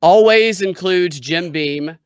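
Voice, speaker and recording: A man's voice: high-pitched, slow, clear, resonant tone, no echo. A man of thirty-nine chatting as a podcast guest, laid-back with dry humor. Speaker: podcast guest, actor and comedian. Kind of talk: casual chat. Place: home studio, green screen. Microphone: studio mic and headphones, video call.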